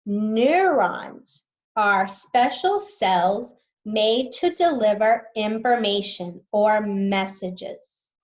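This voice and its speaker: A woman's voice: medium pitch, lively intonation, extremely slow, lo-fi sound. A woman teacher reading a science text aloud to students, patiently explaining new words as she goes.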